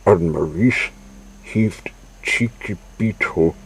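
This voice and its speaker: A man reading a children's story aloud in halting, broken phrases. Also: deep voice, gravelly, husky, rough recording. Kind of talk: slow read-aloud children's story narration.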